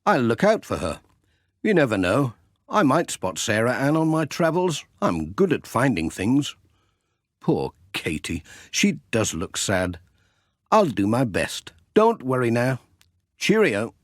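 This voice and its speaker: A man's voice: mid-range voice, sing-song, unhurried, dry recording, studio quality. A man voicing a friendly, cheerful country postman in a children's storybook reading. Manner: cheery, warm storybook dialogue.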